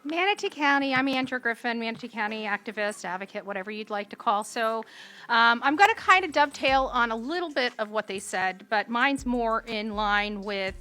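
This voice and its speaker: A woman activist giving informal, halting public comment at a government meeting, pausing often. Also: fairly high pitch, expressive, sharp tone. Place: county meeting room. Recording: music behind the voice. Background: music.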